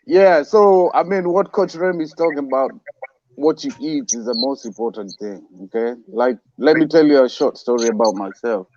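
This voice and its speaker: A man's voice: mid-range voice, moderate pace, darkish tone, no echo. A man with an East African accent talking casually as a live-stream guest, often adding "you know", over a dull, dry call. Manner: peppers talk with "you know". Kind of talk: casual personal storytelling.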